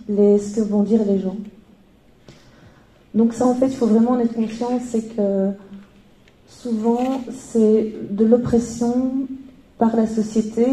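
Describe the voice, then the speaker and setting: Hesitant young woman, dark echoey voice. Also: medium pitch. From Belgium. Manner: hesitant, many fillers, with wry humor. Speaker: convert giving a testimony. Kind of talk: personal testimony. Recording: roomy echo.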